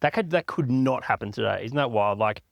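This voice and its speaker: An Australian man with a mid-range voice chats as a podcast guest. He tells his family story with quick asides and restarts. The recording is close and dry. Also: medium pitch, lively intonation, creaky, no room sound. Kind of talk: casual storytelling chat.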